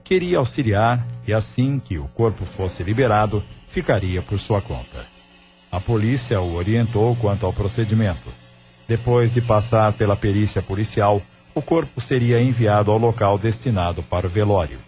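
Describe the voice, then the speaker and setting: Low-pitched Brazilian man narrating a novela. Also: normal speed. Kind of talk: scripted audio novela narration. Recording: dull lo-fi audio with music bed.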